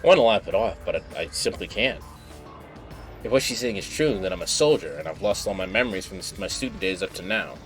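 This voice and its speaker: A man's voice: sits low, lively intonation, fast talker, fairly bright tone. A man doing casual live stream commentary while reading game text aloud, with offhand asides. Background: game music.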